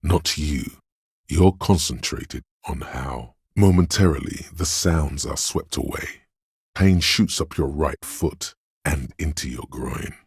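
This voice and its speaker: A man voicing a menacing, darkly poetic inner voice of pain in a video game, narrating in a brooding, deliberate and goading way. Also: very deep voice, breathy edge, dry recording, studio quality, heavily acted. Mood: grim, deliberate. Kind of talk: dramatic second-person game narration.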